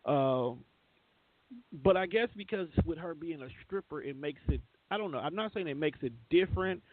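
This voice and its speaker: Higher-pitched American man, thin phone-like sound. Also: lively intonation, moderate pace. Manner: blunt, joking, slangy. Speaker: radio show co-host. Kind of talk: casual opinionated panel chat. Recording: thin phone-like band-limited audio.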